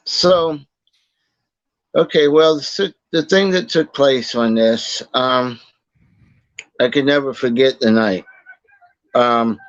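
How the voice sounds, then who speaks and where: A man, a guest telling his story slowly in a casual interview, halting with many fillers and restarts. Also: mid-range voice, no echo, lo-fi sound. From Boston, Massachusetts. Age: 40s.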